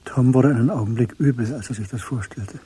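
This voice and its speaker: The composed narrator of a crime radio play. His voice is very dark and breathy, middle in pitch, and he reads with measured understatement. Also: no room sound. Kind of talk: scripted radio drama narration.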